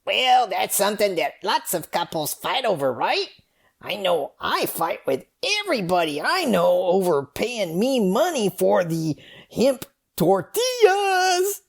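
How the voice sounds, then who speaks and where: A woman's voice: medium pitch, very animated, unhurried, vocal fry, breathy edge, exaggerated voice. A woman playing an impatient, skeptical friend in a comedy skit, prodding with incredulous questions. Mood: chatty.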